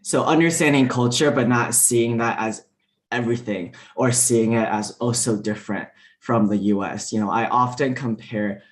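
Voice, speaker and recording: A man whose first language is Mandarin, speaking as a diplomat on a panel discussion, relaxed and full of fillers. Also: mid-range voice, normal speed. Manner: relaxed, many fillers and restarts.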